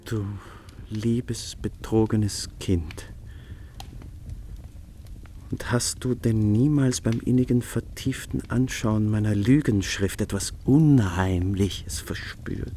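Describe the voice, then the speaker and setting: An actor playing a remorseful, secretly tender schemer in a literary radio play, brooding theatrically and at times dropping into a whisper. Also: male voice, on the low side, dark tone, slight background noise. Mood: tender, guilty. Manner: slow, brooding, theatrical soliloquy with whispered phrases.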